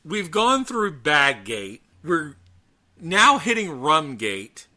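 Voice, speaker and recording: A man chatting casually as a podcast host, ranting in an animated, profane way. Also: fairly high pitch, slow. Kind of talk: casual chat about gaming news.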